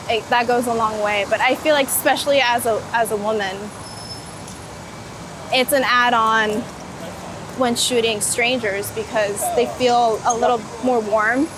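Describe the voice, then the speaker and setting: A woman chatting informally and casually in an interview outdoors on a city street. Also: on the high side. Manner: informal, chatty, laughs easily. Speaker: street photographer, guest. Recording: noisy city street with traffic.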